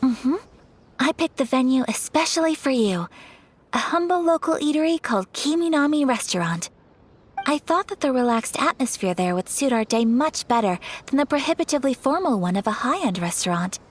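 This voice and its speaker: A young woman voicing a noble lady in a video game, speaking politely, formally and gently. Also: high-pitched, lively intonation, moderate pace, a little hiss or hum. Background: faint background music.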